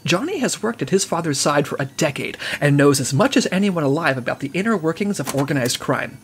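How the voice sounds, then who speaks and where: An actress playing a businesslike section chief who gives crisp mission briefings. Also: female voice, deep voice, expressive, a little fast, slight background noise. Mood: brisk.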